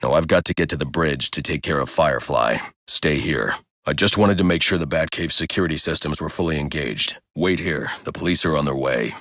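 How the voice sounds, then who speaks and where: An actor voicing a grim, driven masked vigilante detective in a video game, with a gravelly deep voice and terse, stern delivery. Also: male voice, brisk, fairly bright tone, no room sound, studio quality. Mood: grim, analytical. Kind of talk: video game voice lines, scripted hero dialogue.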